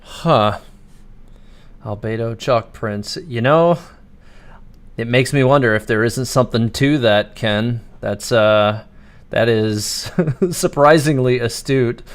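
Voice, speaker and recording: A man's voice: medium pitch, slow pace. A man livestreaming a video game, giving casual commentary in a musing, hesitant, speculative way.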